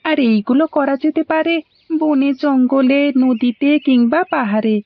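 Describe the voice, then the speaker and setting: A woman's voice: sits high, measured pace, no echo. A woman voicing a cheerful, helpful little schoolboy in a cartoon, with a Bangladeshi accent. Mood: eager, explaining. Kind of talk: animated cartoon dialogue. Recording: dull lo-fi audio.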